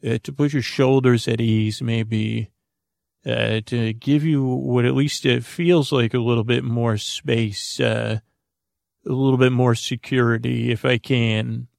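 A man with a gravelly, creaky voice hosting a sleep podcast, rambling in a halting, goofy, improvised bedtime monologue that wanders into tangents. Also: medium pitch, steady tone, darkish tone, no room sound, studio quality.

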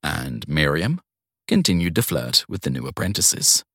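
A man narrates first-person crime fiction in a low voice. His intonation moves widely as he tells the story in measured phrases with dramatic pauses. The sound is dry, with no room around it. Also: wildly swooping pitch, fairly bright tone, breathy edge, no room sound.

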